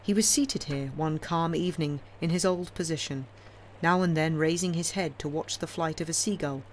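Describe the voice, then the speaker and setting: A woman's voice: low-pitched, moderate pace. Woman narrating literature over noticeable noise. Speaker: audiobook reader.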